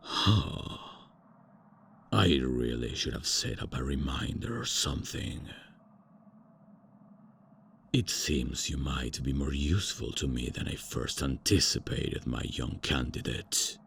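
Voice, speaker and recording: A man's voice: rumbling low, takes it slowly, creaky, a bit bright, slight background noise, exaggerated voice. A man voicing a haughty, out-of-touch ancient male vampire lord in a comedy ASMR roleplay, with a pompous, theatrical manner. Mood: bewildered.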